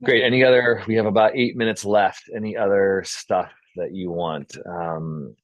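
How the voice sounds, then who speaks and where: A man's voice: fairly low pitch, steady tone, moderate pace. A man hosting a feedback discussion, talking casually and thinking aloud as he gives notes. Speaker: host of script feedback session. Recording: dull, roomy call audio.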